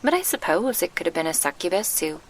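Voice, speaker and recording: A gentle, grieving succubus guardian, acted by a woman in an ASMR roleplay. The voice is fairly low and soft, with a gravelly creak under measured, old-fashioned diction. It sits close on a binaural mic over faint background noise. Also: big pitch swings, rough recording. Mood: wistful, reflective. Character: gentle, wistful female succubus guardian, grieving her lost past. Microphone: close binaural microphone.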